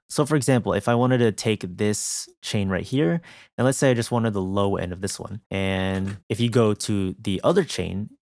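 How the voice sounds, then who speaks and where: Low-voiced man narrating a tutorial, dry sound. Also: darkish tone, no echo. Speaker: music production tutorial host. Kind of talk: casual scripted tutorial narration.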